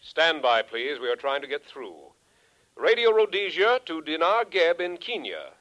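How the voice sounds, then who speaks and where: Formal radio announcer, highish voice, animated delivery, 1950s sci-fi radio drama. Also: male voice, normal speed, dull audio. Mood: official, insistent. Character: formal male radio announcer of the far future. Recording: faintly noisy 1950s radio broadcast.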